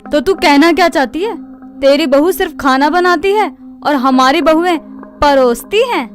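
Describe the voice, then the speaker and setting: A woman playing a proud mother-in-law in an animated family story. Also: high-pitched, moderate pace, faint music behind, lightly acted. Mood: proud, challenging.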